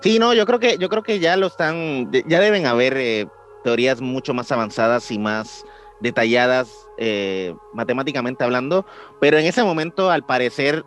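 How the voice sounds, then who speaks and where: A man's voice: medium pitch, lively intonation, normal speed, fairly bright tone, soft background music. A young Mexican man co-hosting a podcast, talking casually and hesitantly with many restarts. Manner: hesitant, restarts often, slangy. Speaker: podcast co-host. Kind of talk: casual chat.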